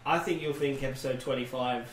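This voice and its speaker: A man's voice: mid-range voice, fairly even intonation, fairly quick, slight background noise. A man chatting casually as a panellist on a podcast. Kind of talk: casual chat. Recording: distant mic, echoey room, people talking behind.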